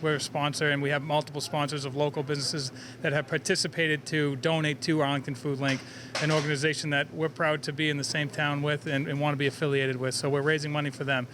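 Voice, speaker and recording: A man giving informal interview answers as a local business representative, with traffic and urban noise behind him. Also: on the high side. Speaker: sandwich shop representative being interviewed.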